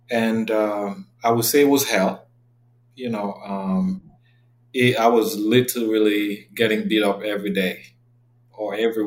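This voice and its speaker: A man in his thirties from Cameroon, a podcast guest talking earnestly and reflectively in a casual interview. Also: lowish voice. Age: 30s.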